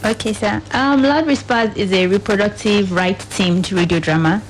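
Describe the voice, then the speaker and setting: A woman's voice: medium pitch, normal speed, slight background noise, poor audio. A Nigerian woman, a program officer speaking as a studio guest, explaining things in a radio interview in loosely pieced-together phrases.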